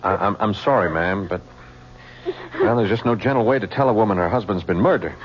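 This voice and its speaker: An actor playing a patient, dogged homicide lieutenant who speaks in a halting, apologetic way with many pauses. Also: male voice, on the low side, natural voice. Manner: halting, apologetic, full of uh's. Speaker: police lieutenant. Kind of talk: 1970s radio drama acting. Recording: dull old radio recording with faint hiss.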